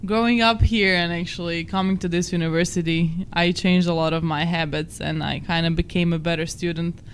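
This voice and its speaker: A woman's voice: on the low side, steady tone, a little fast, slight background noise. Croatian-accented young woman, chatty. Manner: chatty, self-deprecating, non-native phrasing. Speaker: podcast co-host. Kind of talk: casual chat.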